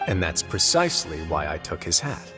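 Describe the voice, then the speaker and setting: A man voicing a composed male duke who speaks in a calm, dry, measured way. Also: low-pitched. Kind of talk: game voice acting. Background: game music.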